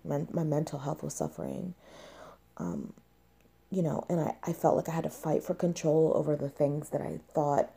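A woman's voice: deep voice, animated, moderate pace, creaky, mellow, breathy edge. A young woman hosting a candid solo podcast, talking with many fillers and restarts and sounding a little nasal from congestion. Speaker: podcast host.

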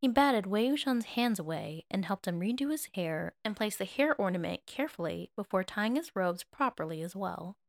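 A woman's voice: medium pitch, expressive, normal speed, vocal fry, few pauses, dry recording. A woman reading scripted fiction aloud as an audiobook narrator.

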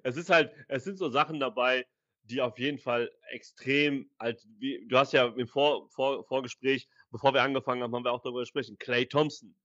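A man's voice: mid-range voice, steady tone, a little fast, fairly bright tone, no echo. Man, mid-range voice, easygoing and agreeable, dry, slightly muffled podcast sound. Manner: agreeable, short affirmations, hesitant restarts. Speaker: podcast co-host. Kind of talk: casual basketball debate.

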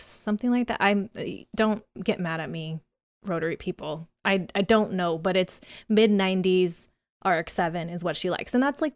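A woman hosting a podcast in a casual, giggly, expressive, informal interview style. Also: medium pitch, no room sound, studio quality. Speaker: podcast host.

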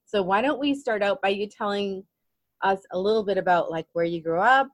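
A woman's voice: mid-range voice, fairly quick, dry recording. A woman hosting an interview podcast, eager and encouraging as she draws out her guest. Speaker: podcast host.

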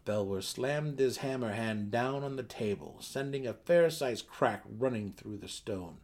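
A man with a medium-pitched voice narrates a fantasy novel. His reading is a dramatic, scripted storytelling performance. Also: unhurried, darkish tone. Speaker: audiobook narrator.

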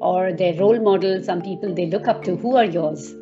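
A woman's voice: on the low side, fairly quick, highs cut off, music in the background. A woman hosting an interview, asking questions in a friendly, easygoing way. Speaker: interviewer, podcast host. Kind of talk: conversational interview.

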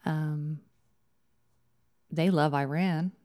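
A woman with a fairly low voice chats casually about politics as a co-host. Her delivery is informal and opinionated, like everyday conversation with a partner on the show. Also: steady tone, very slow, dark tone.

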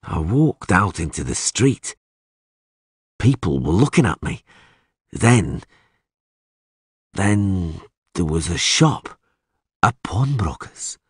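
A man voicing a gruff, impatient monster hunter in an audiobook, blunt and cursing as he demands answers. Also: deep voice, very animated, takes it slowly, pauses often, no room sound, clean studio sound, exaggerated voice. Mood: grim, uneasy. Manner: blunt, cursing, barking questions.

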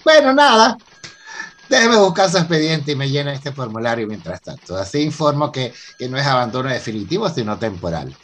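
A Venezuelan actor playing a polite, earnest professor in a radio soap opera, explaining things with hesitant stammers. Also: male voice, medium pitch, sing-song, fairly quick, a bit bright, slight background noise. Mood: courteous, businesslike. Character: polite, earnest university professor and new son-in-law.

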